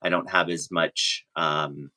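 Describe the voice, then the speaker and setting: A man with a very deep voice that still sounds bright and forward. He talks casually as a guest sharing his own experience, often hesitating and searching for the next phrase. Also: steady tone, slow pace. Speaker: podcast guest. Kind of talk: casual conversational testimonial.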